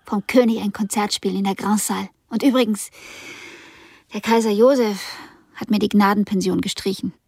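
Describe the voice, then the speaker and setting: An actress playing a cool, wry young concert pianist in a period radio drama, soft-spoken and guarded, with a breathy voice. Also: female voice, medium pitch, steady tone, normal speed, no room sound, studio quality. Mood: reflective. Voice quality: breathy, husky with creak. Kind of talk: German radio drama acting.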